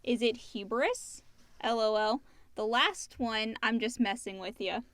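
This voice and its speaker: A woman's voice: fairly high pitch, measured pace, fairly bright tone, dry recording. A woman co-hosting a podcast in a casual, conversational discussion style. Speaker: podcast co-host reading listener questions.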